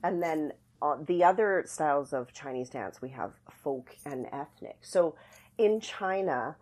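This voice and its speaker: A woman's voice: deep voice, lively intonation, unhurried, a little mellow. A middle-aged woman explaining things conversationally as an interview guest, thoughtful and searching for her words. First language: English. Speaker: podcast guest, dance academy artistic director.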